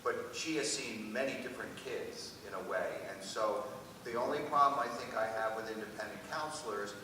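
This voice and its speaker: A middle-aged American man giving an informal, conversational answer as an admissions panelist. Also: lowish voice. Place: large hall at a public panel event. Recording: echoey noisy hall, distant mic.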